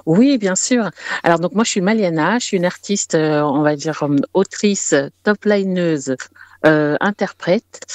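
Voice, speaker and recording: A woman's voice: sits low, darkish tone, no room sound. A woman music artist giving conversational interview answers, often searching for her words and repeating herself.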